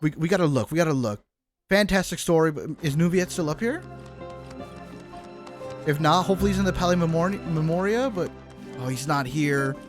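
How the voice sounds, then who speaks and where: A man with a slightly high voice talks casually as a live game streamer. He is enthusiastic and rambling, often repeating himself, with clear game music behind him. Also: a little fast, pauses often. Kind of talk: casual livestream chat and reaction.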